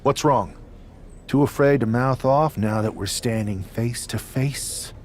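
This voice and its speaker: A man's voice: medium pitch, measured pace, darkish tone, a little hiss or hum, close to an everyday voice. A man playing a confrontational, scornful, hot-tempered wrestler in a video game. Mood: angry, defiant. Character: defiant, hot-tempered loyalist male wrestler. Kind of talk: video game cutscene dialogue.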